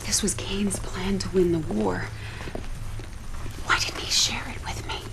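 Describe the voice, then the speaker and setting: Low-voiced, intense female military commander. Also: creaky. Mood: hurt, guarded. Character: proud, intense female military second-in-command, secretly anxious. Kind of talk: dramatic game cutscene briefing.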